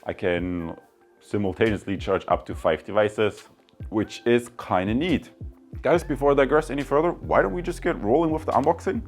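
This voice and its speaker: A man's voice: mid-range voice, normal speed, faint music behind. A man hosting a casual product review, talking informally and directly to viewers. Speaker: product review video host.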